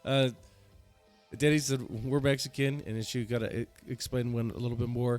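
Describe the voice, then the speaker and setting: A man's voice: medium pitch, steady tone, moderate pace, a little mellow, faint music behind. A man hosting a reaction video, rambling casually with many fillers and restarts.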